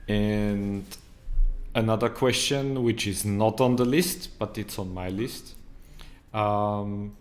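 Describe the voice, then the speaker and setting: A man moderating a live online Q&A, reading out viewer comments in an easygoing, joking way. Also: fairly low pitch. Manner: slow and deliberate, joking, easygoing. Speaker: event moderator.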